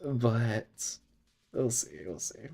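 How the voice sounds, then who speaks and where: A man's voice: medium pitch, animated, extremely slow, vocal fry, mellow. A young man streaming a game while sick, chatting in a rambling way and laughing often. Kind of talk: casual gaming stream chat.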